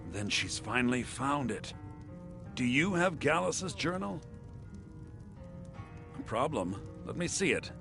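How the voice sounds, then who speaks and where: Creaky, expressive refined scholar. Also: male voice, medium pitch, full character voice. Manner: articulate, expressive, theatrical. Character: refined scholarly man, wistful and courteous. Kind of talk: fantasy game voice acting.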